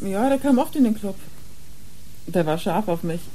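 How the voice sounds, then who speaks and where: A woman's voice: mid-range voice, expressive, normal speed, mellow, slight background noise, close to an everyday voice, rough recording. An actress playing a streetwise nineteen-year-old club girl turned informant in a radio crime drama, slangy and blunt. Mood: blunt, matter-of-fact.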